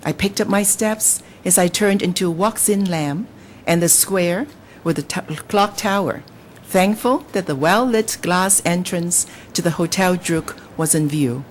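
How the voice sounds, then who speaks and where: A woman's voice: lowish voice, slight background noise. A woman from Hong Kong whose first language is Cantonese, a novelist reading a passage from her book and then answering interview questions with hesitant fillers. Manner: measured, lively when reading, hesitant with fillers in talk. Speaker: novelist guest.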